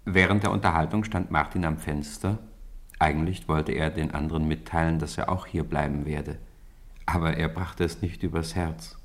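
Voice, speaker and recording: A middle-aged man calmly narrating a radio drama in a studio, with measured, gently solemn delivery. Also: a bass voice. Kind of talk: scripted storybook narration.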